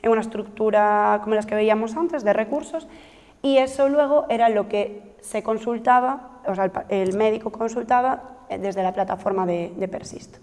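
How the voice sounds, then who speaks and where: A woman's voice: fairly high pitch, normal speed, darkish tone. A woman from explaining a technical project to trainees, with frequent fillers and self-corrections. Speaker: researcher presenting a project. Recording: long hall reverb.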